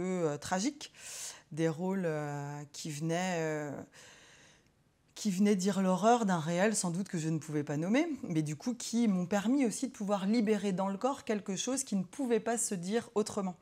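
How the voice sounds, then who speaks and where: A woman psychologist reflects in a personal interview, her voice mellow and a little low. She speaks hesitantly, filling her many pauses and restarts as she looks for the right words.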